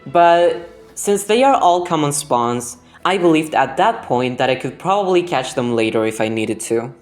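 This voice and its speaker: Young female gaming narrator, native Spanish speaker with Spanish accent, low register, scripted voice-over over background music. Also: a little mellow. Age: young adult. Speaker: gaming video host.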